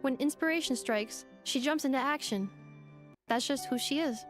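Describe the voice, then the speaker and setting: Polite, high-voiced robot assistant played by a woman. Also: natural voice. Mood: explanatory. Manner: measured, formal, explanatory. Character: polite, composed female robot assistant, loyal and earnest. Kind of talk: anime-style video game voice acting. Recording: faint music behind the voice.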